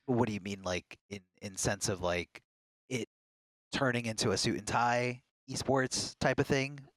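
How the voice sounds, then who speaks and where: A man with a middling pitch talks earnestly and candidly, telling stories in a relaxed podcast chat. The sound is dry and close, with no room echo. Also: a little slow. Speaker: fighting game commentator, podcast guest. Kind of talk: casual podcast conversation.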